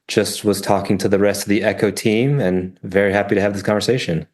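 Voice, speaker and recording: A man born in France and raised in New Hampshire, a French native speaker, answering interview questions in a plain, measured way. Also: on the low side, fairly even intonation, darkish tone. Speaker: guest, app founder.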